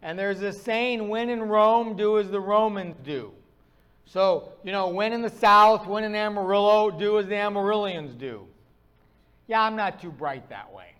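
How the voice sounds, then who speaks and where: Middle-aged pastor, northern US accent, high tenor, wry self-mocking storyteller. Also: male voice, unhurried, fairly bright tone, clear, resonant tone, lo-fi sound. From Upper Midwest of the US. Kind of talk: sermon.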